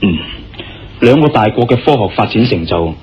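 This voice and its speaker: An actor playing a casual, curious, impatient adventurer in a radio drama, speaking with a Hong Kong Cantonese accent. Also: male voice, on the low side, lively intonation, moderate pace, slight background noise, close to an everyday voice. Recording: dull, muffled radio-style recording. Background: background music.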